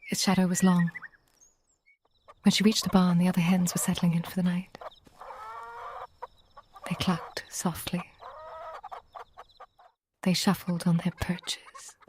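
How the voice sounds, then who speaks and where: A woman narrating a scripted bedtime story in a soft, breathy, near-whispered voice, gentle and unhurried, with long pauses. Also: fairly low pitch, flat delivery, slight background noise.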